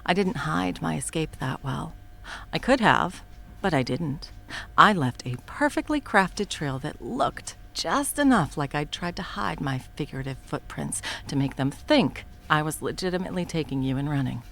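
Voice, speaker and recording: Woman playing a taunting, low-voiced supervillain. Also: expressive, moderate pace, gravelly, a bit bright, heavily acted. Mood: menacing. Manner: taunting, profane, swings between whispers and outbursts. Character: menacing female supervillain, cruel, scheming, mocking. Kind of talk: audio roleplay acting.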